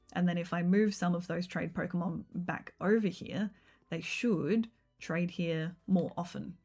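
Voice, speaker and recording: A woman talks through her game build on a livestream, in a fairly low voice. It has a slight rough, croaky edge tonight. She chats in an easygoing, meandering way, full of ums and likes. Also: dark tone. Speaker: game streamer. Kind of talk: casual livestream chat while building in a game.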